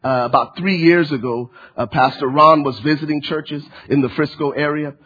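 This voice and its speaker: Fervent guest pastor from Liberia, husky. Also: male voice, on the high side, steady tone, moderate pace, highs cut off. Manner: fervent preaching with repeated phrases and many fillers. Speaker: church-planting pastor, guest speaker. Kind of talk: sermon.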